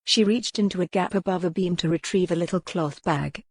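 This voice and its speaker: A woman's voice: lowish voice, brisk, husky, dry recording. A woman reading a historical romance audiobook as a dramatic, scripted narration.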